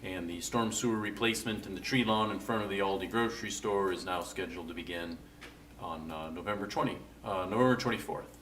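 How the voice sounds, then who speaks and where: A man's voice: sits low, brisk, a bit bright, far from the mic, poor audio. A man giving a matter-of-fact project update as a city director at a council meeting, with frequent uhs. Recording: off-mic with faint noise.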